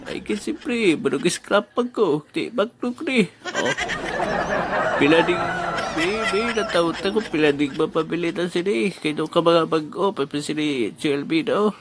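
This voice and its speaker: A man playing a relaxed older scrap buyer who haggles in slow, drawn-out speech. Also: on the high side, lightly acted. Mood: bargaining. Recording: noisy amateur recording.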